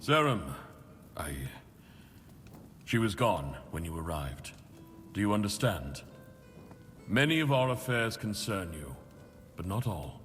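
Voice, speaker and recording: Deep-voiced, grave fantasy leader. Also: male voice, sing-song, a bit bright, big-room sound, faint music behind, heavily acted. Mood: measured, grave. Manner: measured, formal, solemn. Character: grave, burdened male leader, diplomatic and weary. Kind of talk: fantasy video game dialogue.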